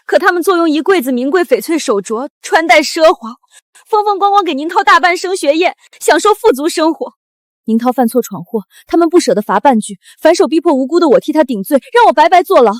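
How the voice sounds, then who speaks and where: An actress playing a wronged, resilient young top student in a short drama, speaking in earnest, declamatory phrases. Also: female voice, high-pitched, lively intonation, brisk, no room sound, studio quality. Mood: bitter. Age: young adult.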